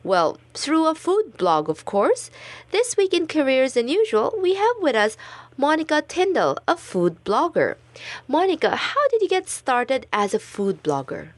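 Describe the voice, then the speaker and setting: A woman's voice: high-pitched, wildly swooping pitch, measured pace, no echo. A woman hosting a radio interview in a studio, with a polished presenter delivery. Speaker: radio host.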